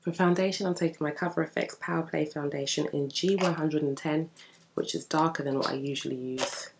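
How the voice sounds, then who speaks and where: Woman with a gravelly morning voice. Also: deep voice, steady tone, a little fast, background noise. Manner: chatty, upbeat, explaining while applying makeup. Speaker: beauty vlogger. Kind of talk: casual makeup tutorial chat. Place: indoor room in front of a bookshelf. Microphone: camera at desk distance.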